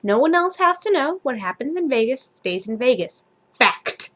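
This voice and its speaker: A woman's voice: high-pitched, very animated, moderate pace, slight background noise. Woman reading as a defensive, dim young man. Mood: confident scheming. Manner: hesitant, rambling excuses.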